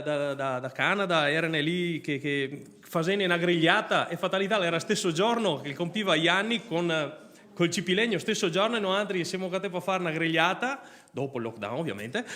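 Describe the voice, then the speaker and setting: A man with a Venetian accent and a somewhat high voice presents informally. He is chatty and wanders from one point to the next as a creator showing his own project. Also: a bit bright.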